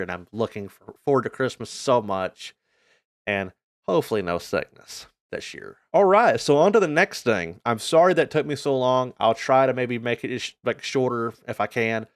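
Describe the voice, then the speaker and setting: A man's voice: mid-range voice, no echo, studio quality. A North American man giving a casual channel update as a gaming YouTuber, talking informally and earnestly in a rambling way.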